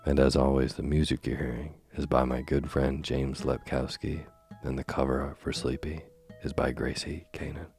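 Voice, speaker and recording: A man hosting a sleep podcast and reading a bedtime story softly, slowly and soothingly, while he is losing his voice. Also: extremely low pitch, little pitch movement, gravelly. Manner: slow, gentle and soothing. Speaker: sleep podcast host and reader. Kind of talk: soft bedtime story narration. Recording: faint music behind.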